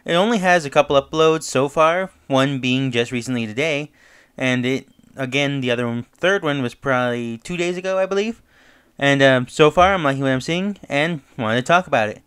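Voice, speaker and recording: A man's voice: mid-range voice, moderate pace, a bit bright, smooth. A man hosting his own channel, casually recapping and reviewing a horror web series, talking loosely with fillers and self-corrections.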